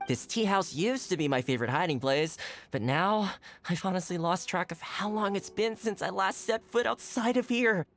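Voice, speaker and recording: An actor playing a friendly, easygoing young man in hiding, chatty and relaxed in a game cutscene. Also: high-pitched, moderate pace, soft background music.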